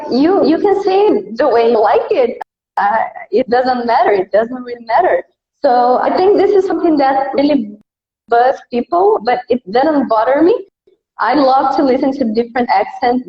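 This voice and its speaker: A woman's voice: high voice, moderate pace, echoey room, muffled recording. A Brazilian woman, an English teacher, chatting in English with a Brazilian Portuguese accent in an easygoing way, with lots of "like" and "uh". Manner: relaxed, easygoing, frequent fillers like "like" and "uh". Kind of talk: casual interview chat.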